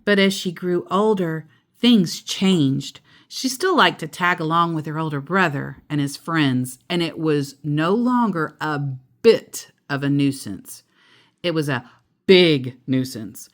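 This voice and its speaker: A woman's voice: sits low, lively intonation, slow. A woman reading a picture book aloud to a child, adding simple explanatory asides as she goes.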